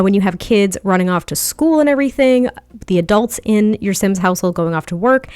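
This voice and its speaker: A woman's voice: mid-range voice, clean tone, no room sound. Chatty woman, a bit nasal from a cold. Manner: chatty, rambling, with small laughs. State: slightly nasal from a lingering head cold. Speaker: video game build creator. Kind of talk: casual voice-over commentary over gameplay. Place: home setup at a standing desk.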